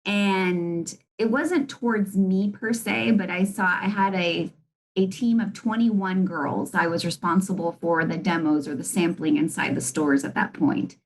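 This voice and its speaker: A middle-aged woman executive from Argentina talks through her experiences in a candid interview. She sounds earnest and reflective, often pausing on "you know". Her voice sits a little low. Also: a little hiss or hum, rough recording. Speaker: business executive guest.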